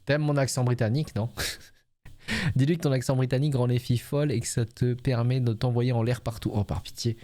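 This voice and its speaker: A man's voice: medium pitch, steady tone, fast, mellow. A man with a French accent, a game streamer giving casual, jokey, teasing live commentary. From France. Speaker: streamer playing a dating game.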